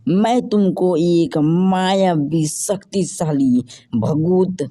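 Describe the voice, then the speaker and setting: A woman voicing a solemn, slow-speaking male occult holy man in a children's cartoon story. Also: fairly low pitch, warm tone.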